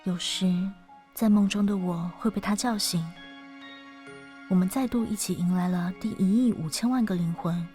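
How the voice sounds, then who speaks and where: 女声优饰演温柔忧伤的灵魂摆渡人，伴着配乐. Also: female voice, mid-range voice, normal speed, warm tone, natural voice. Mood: wistful narration. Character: ageless female ferrywoman of souls, gentle, wistful, quietly teasing. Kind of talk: audio drama acting with reflective narration. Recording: studio voice over background music.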